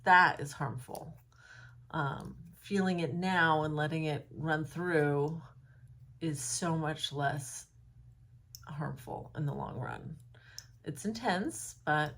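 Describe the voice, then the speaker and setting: A young woman with a low, husky voice talks slowly and reflectively to her phone in a room at home. She hesitates often, filling pauses with ums and likes, and her voice turns a little froggy. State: slightly hoarse, froggy voice. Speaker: vlogger. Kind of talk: unscripted reflective talk to camera. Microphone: phone camera.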